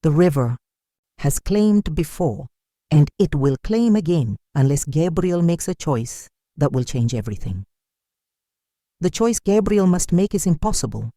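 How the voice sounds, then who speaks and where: Folktale narrator, mid-pitched and mellow, solemn dramatic read, very dry recording. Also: dry recording. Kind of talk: dramatic scripted storytelling narration.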